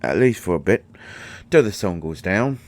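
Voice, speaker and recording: A man playing a tiny, gruff but shy and caring potion-brewing healer in an audio drama, with a mottled British accent and a halting, stammering delivery. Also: low-pitched, animated. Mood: teasing, brusque. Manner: halting, stammering, mumbling asides. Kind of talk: intimate roleplay audio drama monologue.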